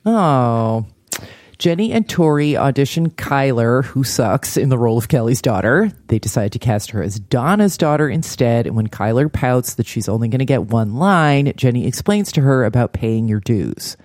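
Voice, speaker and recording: A woman's voice: extremely low pitch, lively intonation, normal speed, a little mellow, no room sound, studio quality. A woman hosting a podcast, recapping a TV episode in a blunt, sardonic, conversational style. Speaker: podcast host.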